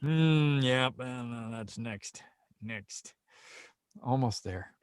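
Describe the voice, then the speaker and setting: A man's voice: medium pitch, drawn-out words, clean tone, no room sound, studio quality. A man chatting casually and jokily on a group video call, rambling and often repeating himself. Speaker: voice actor and participant in a script table read. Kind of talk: casual group chat after a table read.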